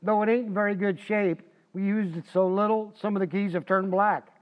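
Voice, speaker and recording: Man playing a high-pitched, folksy wisecracking radio husband. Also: fairly even intonation, brisk, natural voice. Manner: folksy, cocky, joking. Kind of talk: old-time radio comedy acting. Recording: dull lo-fi, reverberant hall.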